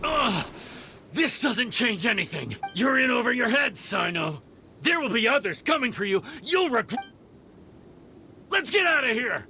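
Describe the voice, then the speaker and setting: A man's voice: very high-pitched, big pitch swings, measured pace, gravelly, sharp tone, a little hiss or hum, full character voice. A man playing a smug, threatening hired mercenary in a video game, with a taunting, falsely courteous manner. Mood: beaten, angry.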